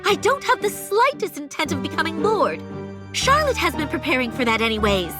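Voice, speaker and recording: Headstrong young girl, very high pitch, lively swoops, emphatic, game acting, background music. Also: fairly quick. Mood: defiant. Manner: animated, stubborn, emphatic. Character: headstrong young noble girl devoted to her adopted sister. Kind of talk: anime-style game voice acting.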